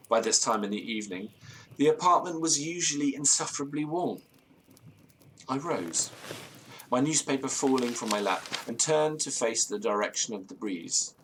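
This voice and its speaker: An actor playing an anxious, genteel British gentleman who recounts a ghost story in formal, ornate, theatrical phrases. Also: mid-range voice, slight background noise, lightly acted, low-quality recording. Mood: uneasy. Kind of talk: audio drama storytelling, period ghost tale.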